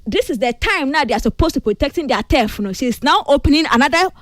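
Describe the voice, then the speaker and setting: A woman's voice: high-pitched, expressive, fast talker, fairly bright tone, no echo, clean studio sound. A woman giving her view on a radio entertainment panel, with hesitant starts.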